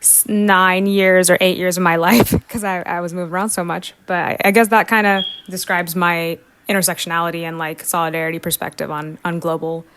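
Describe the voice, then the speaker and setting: Low, smooth-voiced young woman. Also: little pitch movement, a little fast, few pauses, a bit bright, no room sound. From South India. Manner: talkative, many fillers, laughs often. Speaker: guest. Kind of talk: casual podcast interview.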